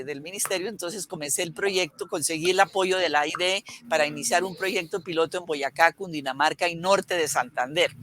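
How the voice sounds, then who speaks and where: A woman's voice: on the low side, a bit bright. An older woman with a Colombian accent, a guest educator reminiscing in an interview, rambling through her stories with frequent restarts and fillers.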